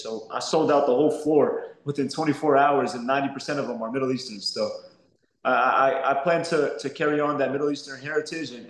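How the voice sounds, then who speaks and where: A young man, a professional boxer, giving earnest interview answers that stumble and repeat words often. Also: mid-range voice. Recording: roomy echo.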